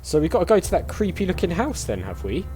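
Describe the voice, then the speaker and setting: A man's voice: high-pitched, big pitch swings, fast, dark tone, slight background noise. A man doing casual let's play commentary, thinking aloud as he goes. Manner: thinking aloud, reacting to the game. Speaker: gaming streamer.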